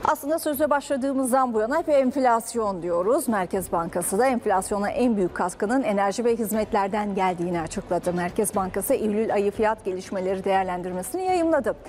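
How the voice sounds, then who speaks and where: A young woman reading business news as a studio anchor, in a crisp, measured newsreader delivery. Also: medium pitch, darkish tone, a little hiss or hum.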